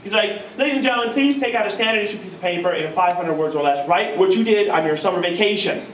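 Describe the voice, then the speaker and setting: A man in his thirties from New York state, telling animated, self-mocking stories to a live audience at a guitar clinic. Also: high-pitched, fast talker, background noise. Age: 30s. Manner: animated, self-mocking, mimics voices in quotes. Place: music store clinic room, audience present. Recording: roomy live sound from far off.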